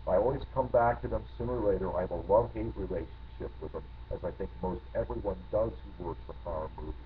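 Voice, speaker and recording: A man's voice: low voice, fast. A middle-aged man from New Jersey, a guest composer telling rambling, reflective anecdotes with many restarts. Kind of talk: storytelling interview answers. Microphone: video call. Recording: tinny lo-fi line with faint noise.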